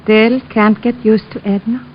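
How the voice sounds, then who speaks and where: Actress as high-voiced, composed radio drama wife. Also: female voice, little pitch movement, measured pace, close to an everyday voice. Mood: coy. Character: composed, coy wife of a murder suspect. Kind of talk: 1950s radio drama acting. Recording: muffled, noisy old radio recording.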